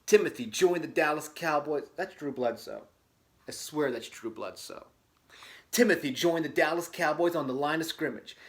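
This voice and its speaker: Wry man reading a storybook, slightly high voice. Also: animated, moderate pace, fairly bright tone. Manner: wry, tongue-in-cheek. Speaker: host reading a children's book aloud with asides. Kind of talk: dramatic storybook reading broken by casual joking commentary.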